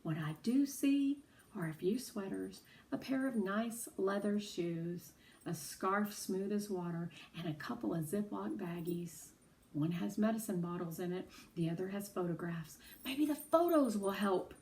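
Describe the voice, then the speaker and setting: A woman's voice: mid-range voice, a little slow. A woman reading aloud, slightly distant. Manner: animated, dramatic storytelling with acted dialogue. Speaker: read-aloud storyteller. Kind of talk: expressive children's audiobook read-aloud.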